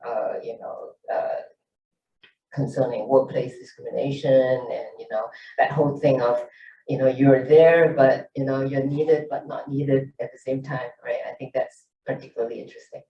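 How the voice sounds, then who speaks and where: A man's voice: medium pitch, fairly even intonation, moderate pace. A man moderating a panel, asking a long, rambling question full of "you know". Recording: dull, echoey, distant mic.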